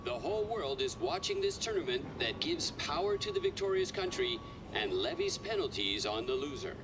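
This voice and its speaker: Slow, swooping news announcer. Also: male voice, a little high, huge pitch swings, background noise, exaggerated voice. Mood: grave. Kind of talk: broadcast announcement in a game cutscene.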